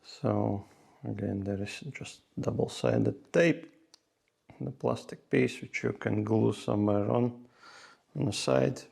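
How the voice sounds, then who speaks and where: A man's voice: fairly low pitch, fairly even intonation, takes it slowly, creaky, a little mellow, husky. A man talking casually through a hands-on tutorial step by step, with non-native English phrasing. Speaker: host of a motorcycle gear how-to video.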